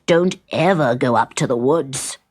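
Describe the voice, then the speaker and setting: A woman voicing a stern old mistress of a house, curt and forbidding, giving firm, slow commands. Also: very deep voice, a little mellow, husky, pitched below the performer's own voice, heavily acted.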